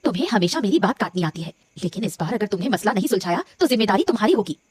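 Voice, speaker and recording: A woman's voice: medium pitch, big pitch swings, racing, darkish tone, slight background noise, lightly acted. A woman voicing an exasperated, sensible wife in a cartoon story, scolding wearily and emphatically. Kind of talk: Hindi cartoon story dialogue.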